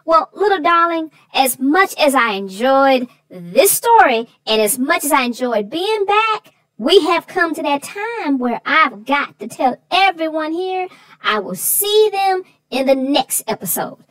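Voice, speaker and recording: A woman voicing a sassy, folksy female sidekick who chats theatrically about a children's story with drawn-out exclamations. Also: sits high, very animated, a bit bright, dry recording, voice pushed up, exaggerated voice, rough recording. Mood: sassy, amused. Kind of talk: bantering discussion of a children's story.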